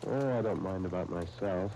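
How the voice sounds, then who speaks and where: A man playing a gentle, earnest young husband in a 1930s radio serial. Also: low-pitched, normal speed. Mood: earnest, troubled. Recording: noisy 1930s radio broadcast disc.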